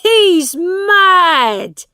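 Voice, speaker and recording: A woman voicing a brutish, bad-tempered father in a storybook, with loud, mocking bellows. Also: lowish voice, steady tone, drawn-out words, no room sound, studio quality, full character voice. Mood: scornful, shouting.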